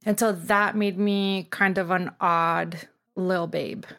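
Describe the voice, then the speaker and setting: A woman's voice: lowish voice, steady tone, slow pace, sharp tone. A middle-aged woman from Tijuana, Mexico, telling her story informally as an interview guest, peppering her speech with "like". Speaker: guest, artist and designer. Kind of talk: casual personal storytelling interview.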